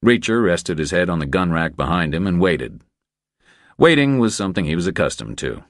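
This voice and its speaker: A man reading a crime thriller as an audiobook narrator, in measured, deliberate scripted narration. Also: low voice, very animated, dry recording, studio quality.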